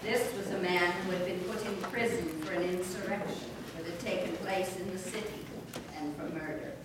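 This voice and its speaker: A woman narrating a scripture reading during a church service in a measured, formal way. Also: fairly low pitch, fairly even intonation. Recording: echoey church, distant mic, background noise.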